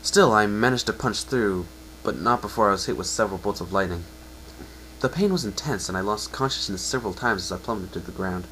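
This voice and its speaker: A man voicing a downcast, self-blaming young male pegasus, telling his story in an earnest, halting way. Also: fairly low pitch, sharp tone, a little hiss or hum, poor audio. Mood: somber.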